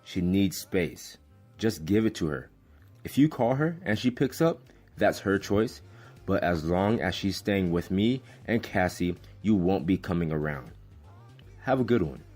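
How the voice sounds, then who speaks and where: An actor playing a firm, protective family man in an audio drama, blunt and gruffly teasing in his talk. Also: low voice, lively intonation, measured pace, darkish tone, faint music behind.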